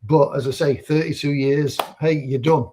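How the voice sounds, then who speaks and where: A man's voice: a little high, steady tone, moderate pace, dark tone. A man in his fifties co-hosting a casual livestream, telling stories in an easygoing, rambling way. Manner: easygoing storyteller, rambling with fillers. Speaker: livestream co-host.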